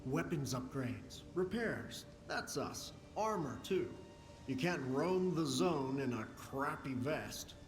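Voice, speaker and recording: Chatty wry repair tech in a survival game, echoey room, noisy behind him. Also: male voice, mid-range voice, expressive, takes it slowly. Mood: wry, welcoming. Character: gruff, wry male technician trading in a post-apocalyptic zone. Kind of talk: video game dialogue.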